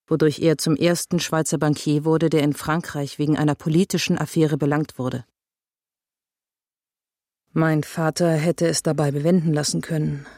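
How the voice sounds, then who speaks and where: An actress playing a serious, determined woman investigator, speaking in a measured, matter-of-fact way in a radio drama. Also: low voice, a little mellow, no echo, studio quality. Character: serious, determined woman investigator recounting her father's fate.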